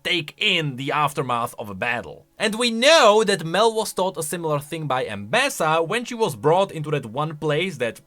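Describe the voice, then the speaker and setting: A man's voice: a little high, big pitch swings, moderate pace, hardly any pauses, pushed and bright. A man giving casual, wry, opinionated reaction commentary as a video game lore commentator.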